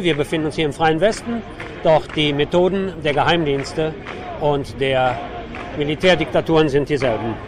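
A man giving an on-location radio report from a protest outdoors, with an opinionated, sardonic edge. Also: a little high. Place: outdoors on a city avenue at a demonstration. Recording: noisy city street with traffic. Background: clear street and traffic noise.

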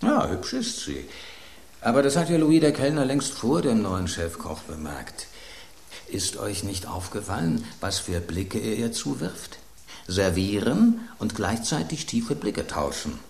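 A man's voice: on the low side, very animated, a little slow, vocal fry, a little mellow, echoey room, full character voice. A man voicing a jealous, mocking household loaf of bread in a radio play, sardonic and declamatory. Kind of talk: German radio play acting.

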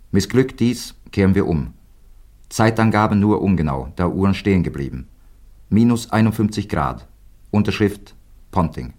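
An actor playing a grave rescue party member, reading out a formal, telegraphic situation report in a radio drama. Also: male voice, lowish voice, a little mellow. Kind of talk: German radio play acting, clipped report.

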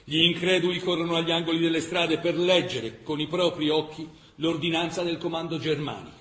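A male actor playing a grave chronicler of a besieged wartime city in a radio drama, measured and emphatic with dramatic pauses. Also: a little high, fairly bright tone, natural voice. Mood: grave, tense. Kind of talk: dramatic scripted documentary narration. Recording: roomy, echoing radio theatre sound.

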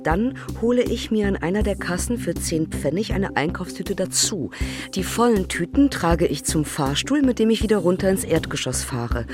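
A woman acts a brazen young Polish art student in a radio play. She tells things in a measured, matter-of-fact way as a first-person narrator. Music plays clearly behind her. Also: fairly low pitch, lively intonation, lightly acted.

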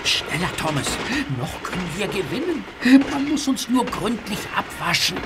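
A woman's voice: medium pitch, big pitch swings, moderate pace, lightly acted. A woman voicing an eager young engine. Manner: eager, urgent. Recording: faintly noisy recording.

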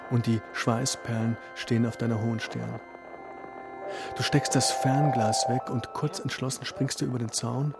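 Man narrating a radio play over music. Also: mid-range voice. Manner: vivid, descriptive present-tense address. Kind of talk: second-person storytelling in a radio drama. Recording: music playing behind the voice.

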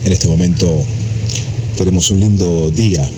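A man leaving a relaxed, friendly voice message. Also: sits low, normal speed. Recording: phone recording with background noise. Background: noise.

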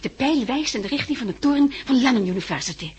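Young female survivor in a vintage radio play: eager, insistent, fast-talking. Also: high-pitched, animated. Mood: brisk, practical. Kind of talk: 1960s radio drama acting. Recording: 1960s radio play with background noise.

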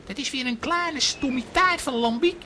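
An obstinate young boy in a children's radio play. The voice is high and self-willed, with clear noise behind it. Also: normal speed, sharp tone. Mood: sulky, stubborn. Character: stubborn, distrustful, independent young boy. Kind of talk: children's audio drama acting.

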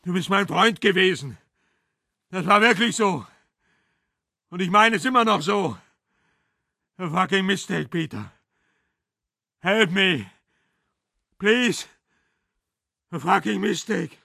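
An actor playing a desperate, foul-mouthed villain in a crime radio drama, pleading bitterly in a hoarse, strained voice. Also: male voice, high voice, lively intonation, takes it slowly, pauses often, sharp tone, no echo, clean studio sound, exaggerated voice. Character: desperate, foul-mouthed male villain, a huge treacherous friend.

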